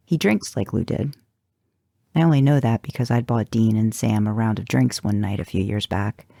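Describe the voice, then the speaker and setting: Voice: mid-range voice, gravelly, dark tone, no room sound, clean studio sound. A narrator reading first-person fan fiction in an audiobook style, with a wry, intimate delivery. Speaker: fan fiction podcast reader.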